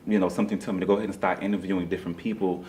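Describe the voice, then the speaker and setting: A man's voice: sits low, little pitch movement, rapid-fire, a little mellow. A man being interviewed as a guest, telling his story in a casual, earnest, conversational way and often repeating himself. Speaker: interview guest, playwright and director.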